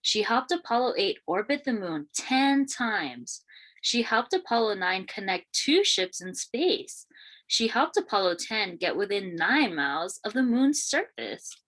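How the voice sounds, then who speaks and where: A woman reading a children's picture book aloud in an expressive, deliberate storytelling manner. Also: mid-range voice, rough recording. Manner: expressive, questioning lifts and deliberate phrasing. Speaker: read-aloud storyteller, teacher.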